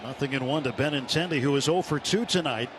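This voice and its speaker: A man's voice: mid-range voice, fast talker. A man with an American accent calling a baseball game live as a radio play-by-play announcer. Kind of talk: live sports commentary. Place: broadcast booth at a baseball stadium. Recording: noisy live radio ballpark broadcast.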